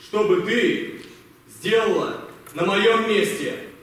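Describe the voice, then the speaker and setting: A man's voice: high voice, fairly bright tone. Man playing stern army captain in echoey hall. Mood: grave, reproachful. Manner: commanding, clipped orders with deliberate pauses. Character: stern male army captain, gruff but fatherly wartime scout commander. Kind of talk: amateur stage radio play. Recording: distant echoey hall, faint noise.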